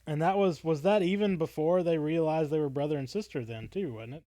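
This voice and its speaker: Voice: medium pitch, brisk, dark tone, no room sound. A podcast co-host with a mid-range voice of unclear gender, in casual discussion. The delivery is tentative, as if thinking aloud, and the sound is very dry.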